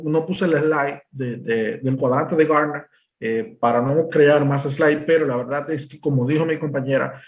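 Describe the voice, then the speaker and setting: Dominican guy presenting network tech, kinda high voice, lots of eh and restarts. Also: darkish tone. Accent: Dominican Spanish. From Dominican Republic. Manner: explanatory, filler-heavy with frequent restarts. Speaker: presales engineer presenting. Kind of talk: technical presentation.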